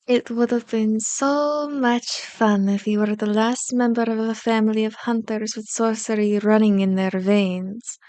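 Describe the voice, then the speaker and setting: Woman playing a slow, seductive elder vampire lady. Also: on the high side, steady tone, darkish tone, clear, resonant tone, dry recording, close to an everyday voice. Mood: amused, threatening. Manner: slow, deliberate, teasing, formal wording. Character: powerful elder female vampire, seductive, taunting, menacing. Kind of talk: ASMR roleplay, scripted monologue. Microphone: close microphone, dry recording.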